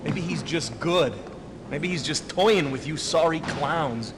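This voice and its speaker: A man's voice: a little high, slow pace, in a large hall, noisy background. A man playing a cocky fighter pilot in a video game, teasing and sarcastic in casual dialogue. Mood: mocking. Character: cocky, mocking young male fighter pilot.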